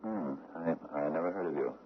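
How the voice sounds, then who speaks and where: An actor playing a nervous, stammering cost analyst in a 1970s radio drama. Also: male voice, a bass voice, normal speed. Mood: timid. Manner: stammering, hesitant, full of uh's. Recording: lo-fi broadcast audio with faint hiss.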